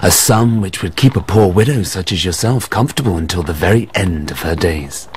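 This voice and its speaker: An actor playing a suave, polite but relentless gentleman in a radio drama. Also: deep voice, animated, brisk, slight background noise. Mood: smooth, insistent.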